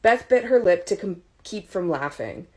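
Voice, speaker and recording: A woman reading a story aloud as an audiobook narrator, in an expressive scripted style. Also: mid-range voice, normal speed, breathy edge.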